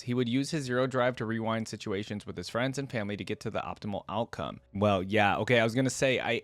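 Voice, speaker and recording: A man with an average-pitched voice hosts a casual reaction video. He speaks informally and directly to his viewers, moving between loose commentary and reading text aloud. The sound is close and dry, with hardly any room in it. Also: dry recording. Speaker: video host, gaming fan reactor.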